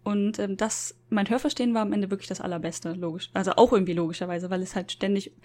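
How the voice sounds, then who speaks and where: A woman's voice: medium pitch, fairly even intonation, fast, darkish tone. A woman chatting casually, talkative and self-deprecating, often laughing at herself. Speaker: university lecturer in Japan. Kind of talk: casual podcast chat.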